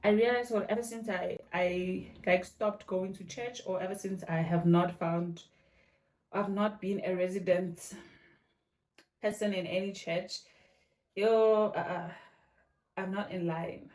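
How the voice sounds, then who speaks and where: A woman's voice: medium pitch, steady tone, unhurried, pauses often, a little mellow. Chatty woman vlogging in an echoey bathroom. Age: 30s. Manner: chatty, addresses viewers as "guys", slangy "yo". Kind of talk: casual vlog chat. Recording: echoey bathroom, faint music behind.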